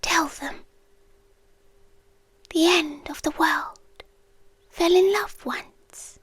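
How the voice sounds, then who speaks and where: A British woman playing an ancient, lovelorn world-ending being in an ASMR roleplay, speaking in a soft, breathy, intimate whisper. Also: very high-pitched, no room sound, heavily acted. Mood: mournful farewell. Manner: slow, hushed, intimate, with pauses. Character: ancient female apocalypse entity, lovelorn, tender and melancholy. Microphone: close microphone in a dry space.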